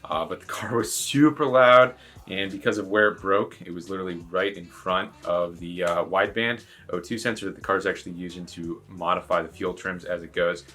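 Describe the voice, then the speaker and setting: A man's voice: sits low, fairly even intonation, brisk, few pauses, sharp tone. A man giving a casual, chatty, rambling explanation as a rally driver introducing his video.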